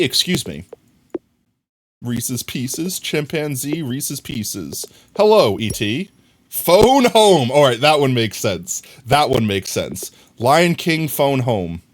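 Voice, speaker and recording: A man streaming a crafting game live, talking to his chat and thinking out loud, with sudden excited high yelps.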